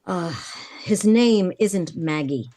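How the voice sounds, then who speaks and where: Actress as a low, mellow mystery woman. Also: animated, takes it slowly. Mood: guarded, serious. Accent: American. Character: composed, mysterious woman client of a hard-boiled mystery. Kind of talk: vintage radio drama acting.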